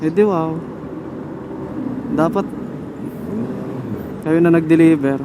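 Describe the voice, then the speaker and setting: A man's voice: fairly high pitch, slow pace, very noisy. A man with a Filipino accent casually muttering and swearing to himself as he vlogs from a motorcycle through a helmet intercom mic. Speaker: motorcycle vlogger. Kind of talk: casual motovlog chat while riding. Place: outdoors on a motorcycle in traffic. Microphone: helmet intercom microphone.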